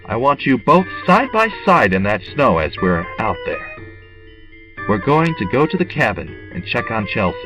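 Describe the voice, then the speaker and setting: Man playing a lively, commanding amateur investigator. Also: lowish voice, moderate pace, music in the background, rough recording. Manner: bossy, urgent, interrogating. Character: tense male amateur investigator with a gun, commanding. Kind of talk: audio drama acting.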